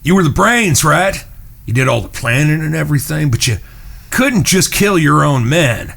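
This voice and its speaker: An actor playing a shrewd, menacing Old West gunslinger, speaking in a deliberate drawl in an audio drama. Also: male voice, fairly high pitch, animated, vocal fry, a bit bright, full character voice. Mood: knowing, accusing. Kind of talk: western audio drama acting.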